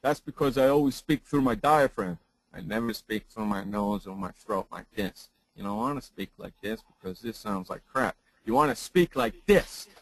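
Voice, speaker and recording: A man who trains broadcasters, giving a lesson in a loud, emphatic way and repeating phrases for effect. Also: on the low side, moderate pace, dry recording, rough recording. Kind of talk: casual chat turning into a spoken lesson.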